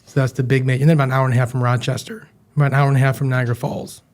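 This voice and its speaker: A man's voice: mid-range voice, level tone, racing, a little mellow. Chatty self-deprecating male guest. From western New York, USA. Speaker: guest, chef and business founder. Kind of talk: casual interview chat.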